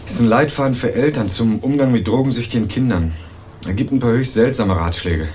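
An actor playing an earnest young male student investigator in a radio crime drama, narrating and questioning in a measured, dry way. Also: medium pitch, a little mellow, slight background noise, lightly acted. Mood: earnest, probing. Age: young adult. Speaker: narrator and lead character.

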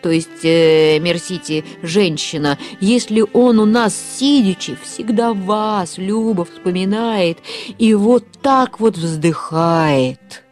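A woman puts on a boozy fifty-something house-painter for a radio story. The speech drags and stumbles, lowered in pitch and clumsily polite, with music underneath. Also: darkish tone, lightly acted. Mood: fumbling, reassuring. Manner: halting, slow, fumbling. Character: boozy, deferential house-painter in their fifties, fumbling polite words.

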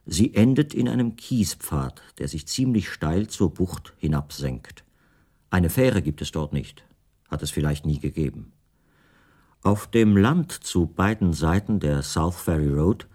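A man playing a melancholy, detached middle-aged writer, reading his recollections in a reflective, unhurried way for a radio play. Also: low-pitched, a little mellow, full character voice. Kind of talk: first-person literary monologue in a radio play.

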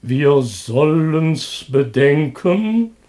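An actor playing the eager yet solemn leader of a chorus in a radio play, with stately declamation. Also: male voice, a little high, very animated, extremely slow, warm tone, heavily acted. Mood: ponderous.

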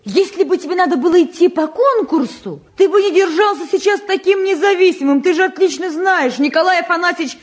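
A woman's voice: extremely high pitch, a little fast, few pauses. A woman acting an earnest, emotional woman in a Soviet radio drama, reproachful and direct.

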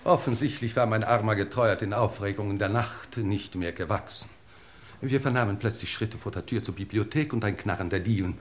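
A male actor plays a genteel English lord with a lowish voice. He speaks formally and deliberately, with wry, world-weary grandeur, in the manner of 1950s radio drama. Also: a little hiss or hum, close to an everyday voice. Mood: insistent, formal. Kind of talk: 1950s German radio play acting. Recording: 1950s radio play recording.

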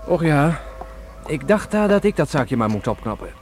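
A man's voice: a little high, very animated, moderate pace, faint music behind. A man acting in a radio drama as an easygoing, cheeky grown son back from sea, with folksy, soothing talk. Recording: noisy old radio play recording.

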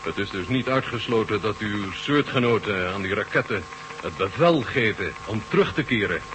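A man's voice: on the low side, animated, normal speed, natural voice. Man acting a commanding marshal, heavy static. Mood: grave. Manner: curt, authoritative radio orders. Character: commanding Soviet marshal directing space operations. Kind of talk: Dutch science-fiction radio play acting. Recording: noisy old radio drama recording.

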